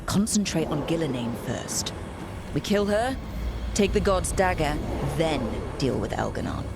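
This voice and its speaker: A woman's voice: low-pitched, expressive, unhurried, heavy background noise. An actress playing a decisive female hero who leads her team with direct commands in a video game cutscene. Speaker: team leader. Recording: game audio with music.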